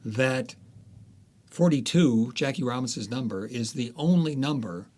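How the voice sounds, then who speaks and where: A man, an author, talking through his ideas in a casual interview, thoughtful and halting, with frequent restarts. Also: mid-range voice, animated, a little mellow.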